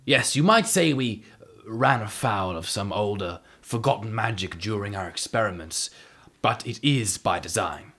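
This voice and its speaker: A man's voice: fairly low pitch, expressive, a little slow, fairly bright tone, exaggerated voice. A man playing a mantis-man scholar and leader, formal, pompous and evasive, in an audio drama. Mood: smooth, placating.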